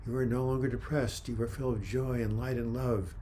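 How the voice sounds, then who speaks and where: A man putting on a slow, soothing hypnotic voice as the narrator of a trance tape. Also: mid-range voice, little pitch movement, mellow, a little hiss or hum, lightly acted.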